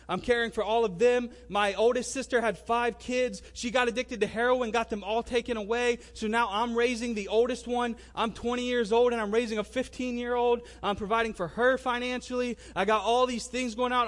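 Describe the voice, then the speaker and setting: A young man in his twenties with a high-pitched voice tells a personal testimony. He speaks conversationally, in casual everyday wording, and acts out remembered exchanges as part of the story. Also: fairly bright tone. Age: 20s. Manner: conversational and colloquial, acting out remembered dialogue.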